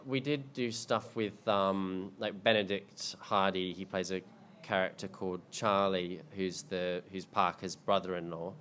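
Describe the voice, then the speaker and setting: Man, 30s, low voice, hesitant, lots of ums, casual q&a talk. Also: fairly even intonation, unhurried, hardly any pauses, a bit bright, slight background noise. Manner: rambling, hesitant, many ums and restarts. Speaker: filmmaker answering audience questions. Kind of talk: casual panel Q&A. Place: indoor screening event.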